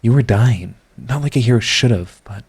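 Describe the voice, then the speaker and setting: A man acting a sardonic supervillain secretly devoted to his rival, in an intimate, theatrical audio roleplay monologue with halting confessions. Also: medium pitch, expressive, darkish tone, husky. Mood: tender, grieving.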